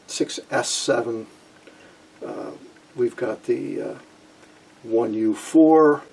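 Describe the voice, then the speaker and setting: A man in his sixties gives an informal technical lesson. His voice is creaky and rough-edged, and he pauses often as he goes. He is recorded at a slight distance from the microphone in a small room at home. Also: mid-range voice, a little mellow. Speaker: presenter of a vintage radio electronics channel.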